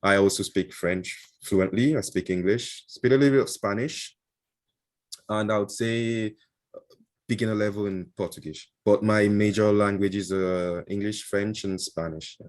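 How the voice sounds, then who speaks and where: A man with a fairly low voice talks loosely as an interview guest, stopping often for "um" and "uh" and restarting his sentences. His is Igbo, and he is heard through a video call. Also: fairly even intonation, a little slow. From Nigeria. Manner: hesitant, many fillers and restarts, chuckles often. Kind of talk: casual interview answers.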